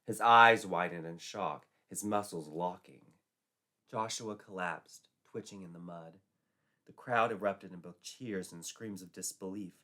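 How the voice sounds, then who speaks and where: A man's voice: deep voice, measured pace, sharp tone. A man narrating a folklore tale as a storyteller, in a dramatic scripted style.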